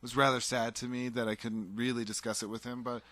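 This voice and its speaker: A man's voice: medium pitch, level tone, a little fast, a bit bright, dry recording. A man co-hosting a casual chat show, talking enthusiastically and rambling with fillers and restarts. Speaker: podcast co-host.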